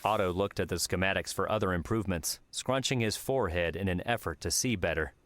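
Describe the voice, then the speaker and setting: A man's voice: low voice, brisk, a bit bright, a little hiss or hum. A man narrating an audiobook, reading scripted fiction.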